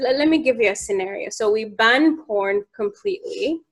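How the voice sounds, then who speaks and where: A woman's voice: a little high, moderate pace. A young woman from Uganda, hosting a casual group chat and telling stories with laughter and pointed questions. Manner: animated, laughing storyteller who asks pointed questions.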